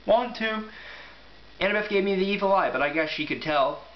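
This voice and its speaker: A man's voice: fairly high pitch, quick pace. A man talking casually and reading aloud in an informal, offhand way. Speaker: amateur book reviewer and reader. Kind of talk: casual rambling review and book read-aloud. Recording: echoey room, muffled, faint hiss.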